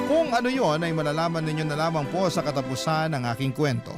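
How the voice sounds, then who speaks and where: Prominent instrumental music runs under a man's somewhat high voice. He narrates as a radio host, measured and reflective, telling a scripted story with drama. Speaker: radio show host and narrator reading a listener's letter. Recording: loud music bed under voice.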